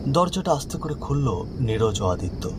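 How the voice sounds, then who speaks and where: A man's voice: mid-range voice, very animated, normal speed, noisy background. A man narrating a scripted horror story in a dramatic style.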